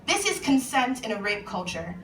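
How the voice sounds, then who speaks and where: A woman with an American accent, an author reading her own monologue aloud at a live event in a measured, earnest way. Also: on the high side, sing-song, fairly bright tone. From United States. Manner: measured, earnest spoken-word delivery. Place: event venue indoors with an audience. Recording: echoey room, voice far from the mic, faint noise.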